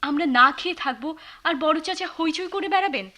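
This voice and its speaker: Defiant young woman, high pitch, tense bright voice, indignant, challenging, radio play. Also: moderate pace. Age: young adult. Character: defiant, hot-headed young orphaned daughter. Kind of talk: Bengali radio play acting.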